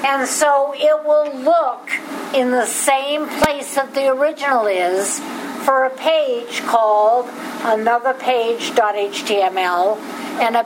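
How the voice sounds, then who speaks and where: A woman with a Boston accent teaching a class, patiently explaining each step to her students. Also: fairly high pitch. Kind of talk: classroom lecture. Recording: echoey classroom with steady background noise.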